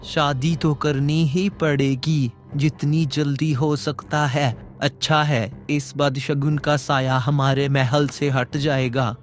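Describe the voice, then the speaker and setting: A man voicing a stern, dismissive king in a cartoon story, measured and commanding. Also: a little high, flat delivery, soft background music. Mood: cold, dismissive. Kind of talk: Hindi cartoon story dialogue.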